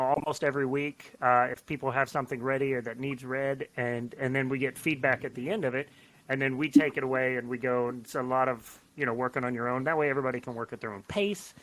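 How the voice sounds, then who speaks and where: A man's voice: medium pitch, pushed and bright, low-quality recording. A man chatting casually as a guest writer on a discussion podcast. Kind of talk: casual chat.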